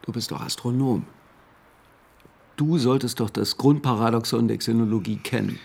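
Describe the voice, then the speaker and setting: A gravelly calm sceptic in a radio play, dry, sure of himself. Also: male voice, medium pitch, normal speed. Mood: dry, self-assured. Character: calm, self-assured man, stoic sceptic. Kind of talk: radio drama dialogue.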